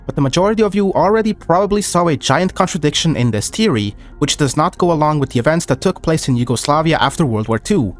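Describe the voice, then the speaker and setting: A man's voice: fairly high pitch, expressive. Man narrating history over faint music. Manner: measured, reads document quotes aloud.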